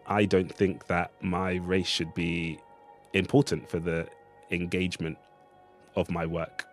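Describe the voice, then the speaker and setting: Male artist interviewee, low register, reflective and halting, choosing words carefully over prominent music. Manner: hesitant, thoughtful, hedging. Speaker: playwright and artist, interview guest.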